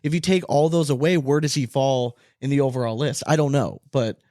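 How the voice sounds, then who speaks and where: A man's voice: medium pitch, fairly even intonation, brisk, dark tone, dry recording. Emphatic man talking sports, close dry sound. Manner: emphatic, opinionated, swears casually. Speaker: podcast co-host. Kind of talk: casual sports podcast chat.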